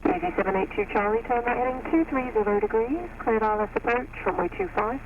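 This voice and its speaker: A woman's voice: fairly high pitch, quick pace, creaky. A woman working as an air traffic controller, giving clipped instructions over aviation radio. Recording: muffled crackly radio with loud noise.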